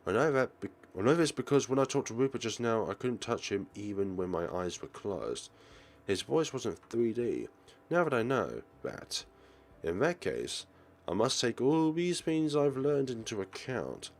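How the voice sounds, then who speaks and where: A man's voice: mid-range voice, big pitch swings, moderate pace. A man reading a visual novel aloud as a let's player, theatrical, sometimes stumbling and rereading phrases. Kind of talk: game commentary with dramatic read-aloud narration.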